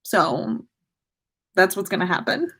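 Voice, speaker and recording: A woman's voice: mid-range voice, very animated, slow, sharp tone, husky. A woman hosting a livestream, reading stories and chatting casually in a playful manner. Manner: giggly, playful. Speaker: podcast host reading listener stories.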